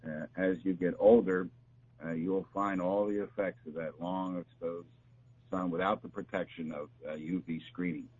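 A man talking as a doctor giving health advice, explaining in a halting, repetitive, conversational way. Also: deep voice, steady tone, darkish tone, slightly rough. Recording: dull, noisy line with hum.

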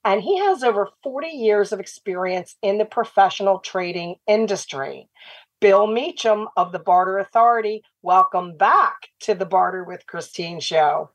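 A woman hosting a business radio talk show, her voice slightly raspy from laryngitis, talking in an upbeat, steady way. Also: medium pitch, no echo, low-quality recording.

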